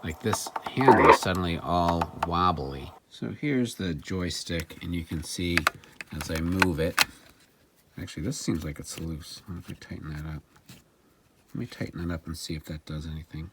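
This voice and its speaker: A man's voice: low-pitched, moderate pace, very noisy. A man narrating a casual hands-on repair demonstration, plain-spoken and understated, thinking aloud as he goes.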